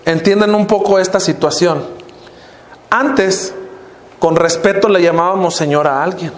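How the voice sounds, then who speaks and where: A man's voice: a little slow, echoey room. An older man with a Mexican accent preaching a sermon as minister, often calling out to the brothers and letting his voice fall at the end of phrases. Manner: preaching, often addressing the congregation as brothers, pitch dropping at phrase ends. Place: church hall.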